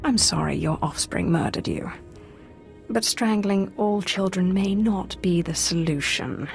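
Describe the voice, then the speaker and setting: Low-voiced, cool Sith inquisitor. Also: female voice, a little slow, gravelly, faint music behind. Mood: dry, unruffled. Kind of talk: dramatic video game acting.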